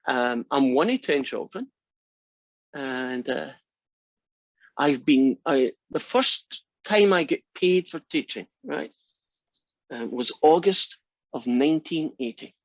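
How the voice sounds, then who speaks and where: A man's voice: medium pitch, lively intonation, slow, long pauses, no echo. A Scottish man in his sixties introduces his math course with plenty of teasing. His voice sits in an ordinary range and is picked up dry and close. The sound is a little muffled and lo-fi. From Scotland. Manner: teasing, joking. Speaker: math instructor. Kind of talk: class introduction. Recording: slightly dull lo-fi sound.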